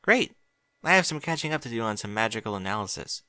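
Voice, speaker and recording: A man's voice: on the low side, sing-song, fast talker, sharp tone, no echo, studio quality. A man voicing a cheerful, studious young unicorn mare in a fan fiction audiobook, speaking politely. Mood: friendly. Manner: polite, bookish.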